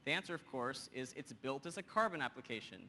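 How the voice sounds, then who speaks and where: Male presenter, 30s, bright, punchy stage voice, lively demo delivery, big hall with long reverb. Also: medium pitch. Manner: energetic, quick and joking with the audience. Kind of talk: live software demo on stage. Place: large conference hall stage. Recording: hall reverb, faint noise.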